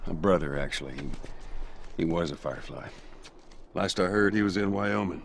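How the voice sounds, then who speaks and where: An actor playing a gruff, steady middle-aged survivor with a Southern US accent in a video game drama, measured and serious. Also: male voice, sits low, slight background noise. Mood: reflective, steady.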